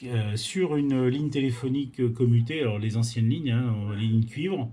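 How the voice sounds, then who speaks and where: A man's voice: mid-range voice, moderate pace, warm tone, husky. A man from France hosting an informal amateur radio tutorial, explaining technical things hesitantly and often repeating himself.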